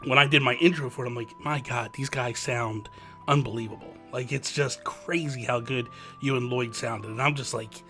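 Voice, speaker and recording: A man's voice: medium pitch, steady tone, moderate pace, pushed and bright, faint music behind. A man hosting a podcast, chatting casually and rambling as he goes, laughing easily. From New Jersey. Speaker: podcast host.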